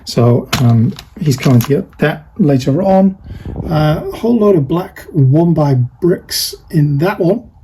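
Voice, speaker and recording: A man's voice: fairly high pitch, lively intonation, unhurried, dark tone, a little hiss or hum, low-quality recording. A man chatting casually while packing orders, rambling and thinking aloud with lots of fillers. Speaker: online parts seller. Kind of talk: casual behind-the-scenes vlog chat.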